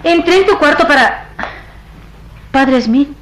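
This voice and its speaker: A woman's voice: high voice, lively intonation, takes it slowly, background noise. An actress playing a devoted, innocent woman in love in a radio drama, hesitant and emotive. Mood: searching, puzzled. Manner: hesitant, emotive, questioning delivery. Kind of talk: melodramatic radio drama acting.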